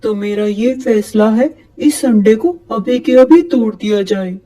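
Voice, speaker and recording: Woman playing a mellow, commanding owl king. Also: a little high. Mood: stern. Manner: measured, commanding. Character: male owl king, authoritative judge of the birds. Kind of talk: Hindi moral-story cartoon acting.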